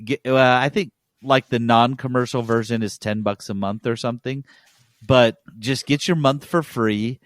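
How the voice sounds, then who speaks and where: A man's voice: medium pitch, fairly even intonation, moderate pace, dry recording. Enthusiastic male podcast host, dry sound. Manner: enthusiastic, emphatic. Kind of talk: casual chat.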